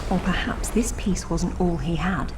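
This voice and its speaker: A woman's voice: on the low side, a little slow, very noisy, lightly acted. A woman playing a poised, dry-witted young British archaeologist adventurer in a video game, speaking in clipped, understated phrases. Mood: composed, analytical. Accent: British English. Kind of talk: video game cutscene acting.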